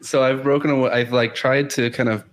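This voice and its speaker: A man's voice: mid-range voice, steady tone, brisk. A man from Houston, Texas, a podcast guest talking easily with lots of fillers. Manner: easygoing, hesitant fillers. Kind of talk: casual video call chat.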